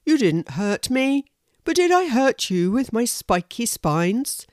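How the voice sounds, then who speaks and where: A woman voicing a kindly, polite male hedgehog in a children's story, speaking in a gentle, plain-spoken, concerned manner. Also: on the high side, very animated, slow, dry recording, studio quality, voice pushed up. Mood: reassuring.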